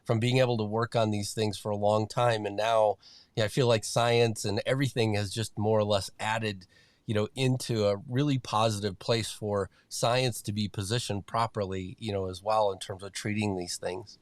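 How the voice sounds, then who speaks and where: A man's voice: on the low side, flat delivery, moderate pace, no room sound. A man hosting a podcast interview, speaking in a friendly, loose way with many fillers. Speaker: podcast host.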